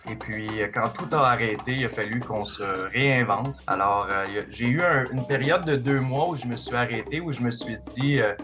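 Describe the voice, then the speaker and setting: Measured middle-aged man introducing himself. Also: mid-range voice. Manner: measured, pausing with fillers. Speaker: entrepreneur auditioning. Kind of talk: self-introduction at a casting session.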